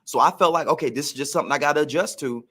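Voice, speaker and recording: A man's voice: on the high side, very fast, bright, forceful tone, slightly rough. A man, a former pro football receiver, telling career stories on a podcast in a reflective, emphatic way.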